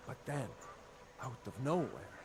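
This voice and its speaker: A tired rebel soldier from a fantasy game, a devoted brother with an earnest way of telling things. His voice sits at a middling male pitch, over loud ambient noise. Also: sing-song, a little slow. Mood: grave, amazed. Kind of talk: video game dialogue acting.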